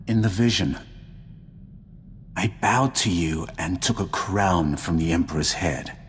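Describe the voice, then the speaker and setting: An actor playing an ancient, proud Sith lord in a video game, speaking in a formal, solemn and disdainful manner. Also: male voice, sits low, slight background noise. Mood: grave, foretelling. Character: ancient, grim, proud Sith lord, three centuries old. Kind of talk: dramatic video game voice acting.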